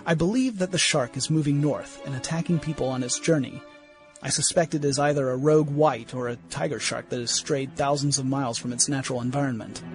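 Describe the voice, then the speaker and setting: A man playing an earnest shark researcher of the 1910s, reading his field journal aloud in a measured, formal, analytical way for a radio play. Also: medium pitch, over music, close to an everyday voice, rough recording. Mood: grave, analytical. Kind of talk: radio play diary monologue.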